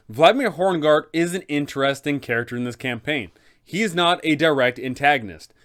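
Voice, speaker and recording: A man's voice: mid-range voice, a bit bright. A man narrating advice for game masters, enthusiastic and speaking straight to viewers. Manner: enthusiastic, addresses viewers directly. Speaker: game master guide host.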